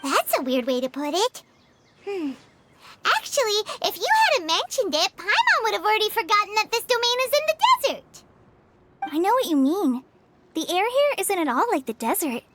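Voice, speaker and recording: A woman voicing a small, cute, excitable childlike fairy companion in an anime video game, speaking in an exclamatory way. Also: extremely high pitch, normal speed, very noisy. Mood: chatty, curious. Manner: refers to self in third person, exclamatory.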